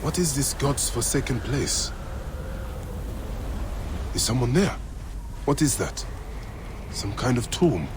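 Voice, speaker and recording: A terse, resolute Egyptian warrior. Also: male voice, medium pitch, lively intonation, slow pace, long pauses, background noise. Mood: wary, weary. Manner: terse, determined. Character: resolute Egyptian warrior protector, stern and loyal. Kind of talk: dramatic video game acting.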